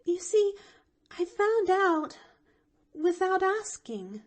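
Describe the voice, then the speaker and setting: A woman's voice: very high-pitched, big pitch swings, slow pace, voice pushed up, heavily acted. A woman doing an eager stammering little girl. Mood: pleading eager. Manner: stammering, breathless and pleading. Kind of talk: audiobook character voice.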